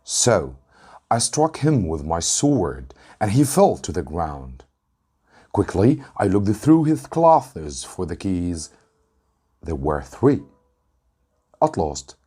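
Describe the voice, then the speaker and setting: A man from Egypt, an English teacher, reading a story aloud with deliberate, dramatic emphasis. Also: fairly low pitch, dark tone, slightly rough.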